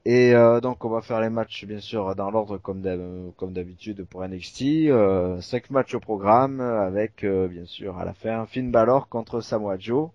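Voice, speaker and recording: A man's voice: fairly low pitch, measured pace, few pauses, darkish tone, no room sound, dull audio. A man with a southwestern French accent hosting a casual podcast, chatty and full of filler sounds. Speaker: podcast host.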